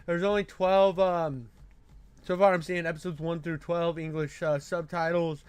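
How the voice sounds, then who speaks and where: A man's voice: on the high side, fairly even intonation, normal speed, smooth, dry recording. A man chatting off the cuff to viewers in slangy, sweary, laid-back bro talk. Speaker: commentator. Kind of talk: unscripted casual chat to viewers.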